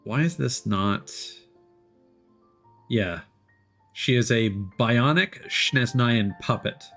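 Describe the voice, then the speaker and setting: A man casually commenting on a game live stream, thinking aloud in a halting way. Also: mid-range voice, expressive, a bit bright, faint music behind.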